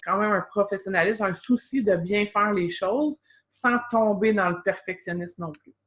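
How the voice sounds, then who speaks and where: A woman's voice: medium pitch, normal speed. A woman with a Québécois French accent, chatting casually as a guest and explaining advice, often dropping in "tu sais". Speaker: guest expert.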